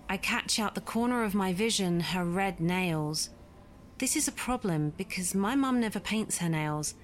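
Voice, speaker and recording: A woman's voice: on the low side, slight background noise. A woman reading a scripted first-person story as a narrator. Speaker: guest reader of listener stories.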